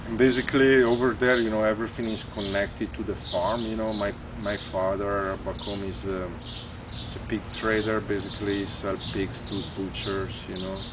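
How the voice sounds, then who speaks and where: A middle-aged Italian restaurant owner with an Italian accent, talking in a halting way, full of fillers and "you know". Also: male voice, lowish voice, flat delivery. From Italy. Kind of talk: casual interview. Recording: tinny phone-like audio with clear background noise.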